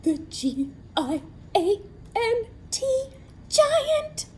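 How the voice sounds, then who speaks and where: A woman playing an excitable little girl. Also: very high voice, extremely slow, slight background noise, voice pushed up, full character voice. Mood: thrilled. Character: excitable imaginative young girl.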